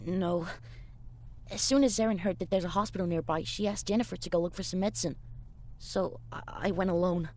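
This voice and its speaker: Hushed, shy young boy voiced by an actor. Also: mid-range voice, fairly even intonation, brisk, natural voice. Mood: shy, secretive. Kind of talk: video game acting.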